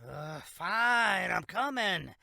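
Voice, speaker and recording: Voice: medium pitch, expressive, drawn-out words, creaky, sharp tone, no echo, clean studio sound, heavily acted. A voice actor playing a lazy, folksy, condescending older small-town sheriff in a horror audio drama. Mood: grumpy, put upon. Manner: drawling, folksy, dismissive.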